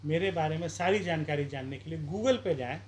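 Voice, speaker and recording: A man from Bihar, an election candidate, arguing forcefully in a street interview with rhetorical questions and campaign appeals. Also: a little high, a little fast. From Bihar, India.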